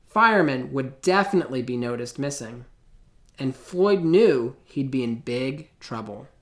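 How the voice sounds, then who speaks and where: A man reads a children's storybook aloud in a theatrical style. His mid-pitched voice moves widely up and down, and he leaves deliberate pauses between phrases for effect. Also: animated. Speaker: picture book reader.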